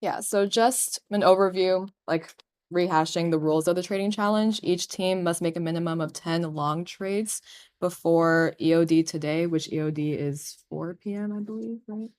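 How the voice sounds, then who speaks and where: A woman's voice: on the low side, normal speed, darkish tone, no echo. A young woman teaching assistant presenting to a class in a chatty way, with fillers like "um" and "like.". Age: young adult. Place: lecture classroom.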